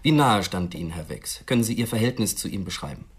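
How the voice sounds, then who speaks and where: Brisk, husky police inspector. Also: male voice, lowish voice, animated. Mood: insistent, probing. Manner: formal, insistent questioning. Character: probing police detective inspector, businesslike and insistent. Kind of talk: German radio drama acting.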